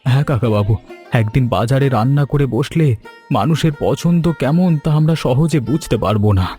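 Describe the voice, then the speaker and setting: Man voicing younger cartoon villager, solicitous, deferential, mellow timbre, light music underneath. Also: medium pitch, brisk, close to an everyday voice. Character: concerned, respectful younger male village helper, eager to comfort. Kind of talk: Bengali animated story dialogue.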